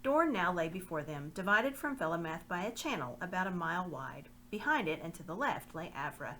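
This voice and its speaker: A woman reading a children's novel aloud in a lively, expressive way. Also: fairly low pitch, a little fast, slight background noise. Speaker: reader.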